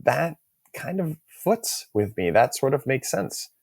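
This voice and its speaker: A man hosting a podcast, talking through market ideas in a measured, hedging way. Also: mid-range voice, very animated, creaky, darkish tone, husky, no room sound, studio quality. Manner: measured, hedging, thinks aloud. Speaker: podcast host. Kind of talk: casual market chat.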